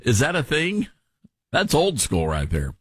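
A radio host with a mild Tennessee accent, reading news aloud and adding wry asides in casual chat. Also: male voice, mid-range voice, huge pitch swings, a little slow, slightly rough, no room sound, studio quality. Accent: mild Southern US, Tennessee.

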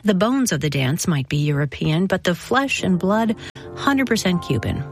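A woman hosting a classical music radio show, reading scripted introductions in a measured storytelling manner. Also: on the low side, animated, slightly rough, dry recording.

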